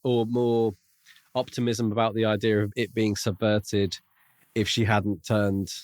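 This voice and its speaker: Lower-voiced man musing in book chat. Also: level tone, measured pace, darkish tone, dry recording. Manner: speculative, musing. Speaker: podcast co-host. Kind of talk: casual book discussion.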